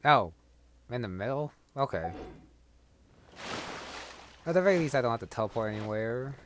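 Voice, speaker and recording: A man giving casual, halting, muttered commentary as he plays a video game. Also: mid-range voice, expressive, a bit bright, a little hiss or hum. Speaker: gamer commenting on his playthrough. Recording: game music behind.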